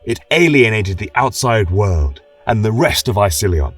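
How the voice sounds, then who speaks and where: A man's voice: fairly low pitch, very animated, normal speed, fairly bright tone, slightly rough, recorded from a distance. A man narrating a scripted fantasy tale in a solemn, dramatic manner. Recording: echoey room, music behind. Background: choral music.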